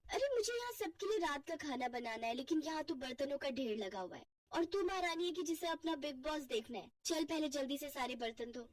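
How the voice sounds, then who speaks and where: A woman's voice: high-pitched, expressive, brisk, few pauses, no room sound, distant mic. A woman voicing a bossy, nagging young housewife who scolds huffily in a family cartoon. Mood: scolding. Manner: scolding, huffy. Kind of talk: Hindi family cartoon voice acting.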